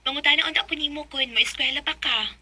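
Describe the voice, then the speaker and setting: A sharp, high Bisaya schoolgirl. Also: fast talker. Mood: eager, friendly. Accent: Filipino, Bisaya. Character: schoolgirl best friend, girlish and confiding. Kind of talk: Bisaya radio drama acting.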